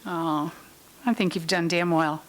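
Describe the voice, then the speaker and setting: A woman's voice: fairly low pitch, fairly even intonation, a little slow, natural voice, poor audio. A woman playing a caring but firm legal guardian, patient and explaining, in a staged reading of a play. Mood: supportive.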